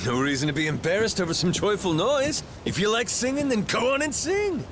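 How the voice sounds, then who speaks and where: An actor voicing a brash, playful and encouraging pirate captain in a video game, with theatrical delivery. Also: male voice, high voice, expressive, brisk, background noise.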